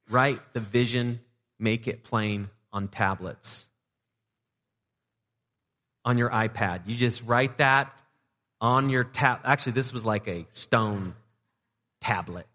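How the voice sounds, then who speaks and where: A man's voice: mid-range voice, a bit bright. A male pastor preaching a casual, joking sermon to his congregation. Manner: conversational and joking, with playful asides to the congregation. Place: church sanctuary. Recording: long reverb of a church hall.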